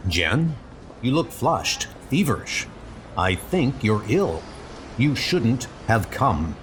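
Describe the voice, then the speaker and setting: Man playing a low, stern scientist hero. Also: sing-song, slow pace, a little hiss or hum. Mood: worried, scolding. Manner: serious, scolding.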